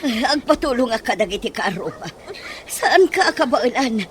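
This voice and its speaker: A worried middle-aged mother in a radio play, speaks with a Filipino accent in a high voice. She is motherly, fretful and urgent, over audible noise. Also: sing-song, normal speed. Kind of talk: radio drama acting.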